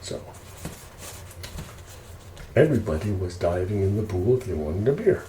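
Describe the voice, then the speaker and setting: A man telling casual ASMR stories in an unhurried, rambling way. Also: deep voice, very animated, mellow. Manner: unhurried, rambling family reminiscing. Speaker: ASMR storyteller. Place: indoors, roomy space while preparing food. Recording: roomy echo, faint background noise.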